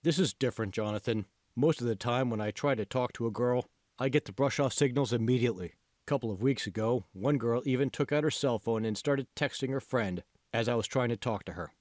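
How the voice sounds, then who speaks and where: A man's voice: medium pitch, brisk, darkish tone, no room sound, studio quality, close to an everyday voice. A man voicing a discouraged, self-pitying college computer whiz in an audiobook, moaning and reluctant. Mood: glum, defeated. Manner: moaning, glum, reluctant short answers. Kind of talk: audiobook character dialogue.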